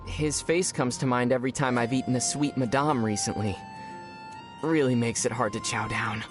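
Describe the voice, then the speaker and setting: A man voicing a calm, dry-witted, gently teasing young traveler in a video game. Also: mid-range voice, moderate pace, music in the background, close to an everyday voice. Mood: thoughtful. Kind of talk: video game character acting.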